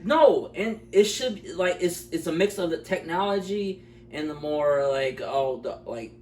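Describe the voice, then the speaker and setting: A man's voice: a little high, expressive, a little mellow. Man in casual, blunt chat, echoey room. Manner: blunt, incredulous, halting. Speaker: co-host of a film review show. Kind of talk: casual, unscripted film review chat. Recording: echoey room, distant mic, faint noise.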